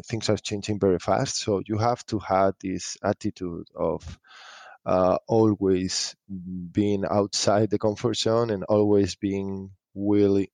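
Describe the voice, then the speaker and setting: Man, lowish pitch, dry close sound, halting reflective interview answers, repeated phrases. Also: darkish tone, no room sound. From Spain. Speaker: startup founder being interviewed.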